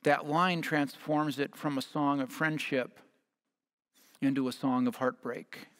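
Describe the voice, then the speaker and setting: An American man in his fifties preaching a sermon as a pastor, speaking in measured short phrases, partly reading from notes. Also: mid-range voice, fairly even intonation, a bit bright. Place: church sanctuary at a lectern. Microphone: headset mic.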